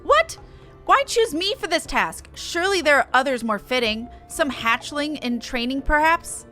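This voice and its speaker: A woman's voice: high-pitched, expressive, normal speed, bright, forceful tone, soft background music, voice pushed up. A woman voicing a haughty, prickly female beastman warrior in a game, with sneering, formal speech. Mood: indignant. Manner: indignant, sneering, formal phrasing. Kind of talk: reading game dialogue in character.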